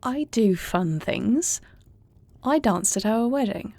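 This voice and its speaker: A woman playing an earnest young princess and heir in an intimate audio roleplay, soft-spoken and formal in her phrasing. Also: a little high, animated, slow, no echo, full character voice. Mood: fond, playful. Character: earnest young princess and warrior heir, anxious yet tender.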